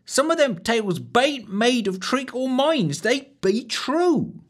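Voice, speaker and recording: A nervous young barmaid with a burr and rustic speech, as a man puts her on in a high falsetto. Her voice swoops up and down as she frets, and she speaks slowly and carefully. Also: big pitch swings, heavily acted. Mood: fearful.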